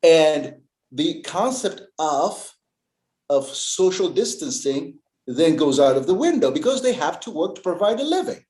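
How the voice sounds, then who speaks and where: A man from Uganda speaking in a panel discussion, earnest and emphatic, stressing key words with long pauses. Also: fairly high pitch, sing-song, a little mellow, reverberant. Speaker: panel guest.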